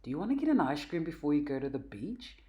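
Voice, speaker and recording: A cheerful storybook dad, read by a woman. The delivery is enthusiastic and patient, with big lively rises as he explains things to his child. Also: deep voice, fast, highs cut off. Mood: cheerful, explaining.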